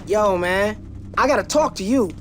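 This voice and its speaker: An actor playing a brash, eager, streetwise rebel with a slangy, urgent delivery in a video game. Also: male voice, high-pitched, expressive, moderate pace, slight background noise.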